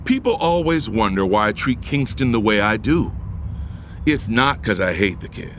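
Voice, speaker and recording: Smug, animated billionaire schemer. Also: male voice, lowish voice, normal speed, a little hiss or hum. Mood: reflective, confessional. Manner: smug, commanding, taunting. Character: scheming, arrogant middle-aged billionaire husband and father. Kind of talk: audio drama acting with first-person narration. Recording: music under the voice. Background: music.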